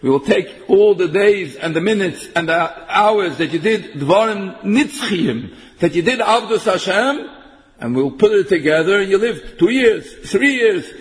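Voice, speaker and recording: Man, sermon, high voice, chanting verses, long hall reverb. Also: lively intonation, measured pace. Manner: English mixed with Yiddish and Hebrew terms, chanting some verses. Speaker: rabbi giving a Torah talk.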